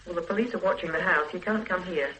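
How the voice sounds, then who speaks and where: A woman's voice: lowish voice, fast talker, background noise, close to an everyday voice. A woman playing a guarded, bitter grown daughter in a radio drama, speaking in a cool, clipped, reproachful way.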